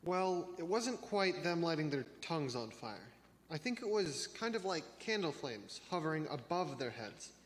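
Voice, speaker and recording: A man's voice: fairly high pitch, big pitch swings, normal speed. Young man as bookish teen boy, echoey, noisy. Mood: thoughtful. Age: teenager or young adult. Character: bookish teenage boy who loves trivia. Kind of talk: church reader's theater. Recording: echoey hall with background noise.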